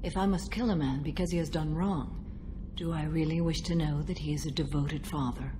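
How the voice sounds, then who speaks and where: An actress playing a stoic, centuries-old alien warrior woman bound by a code in a video game, speaking in a measured, formal, solemn manner. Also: low-pitched, steady tone, background noise, natural voice. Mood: calm, reserved. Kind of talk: video game dialogue acting.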